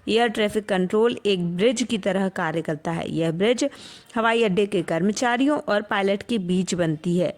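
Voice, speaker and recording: A woman with an Indian accent presenting a scripted educational explainer in a clear, explanatory manner. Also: mid-range voice.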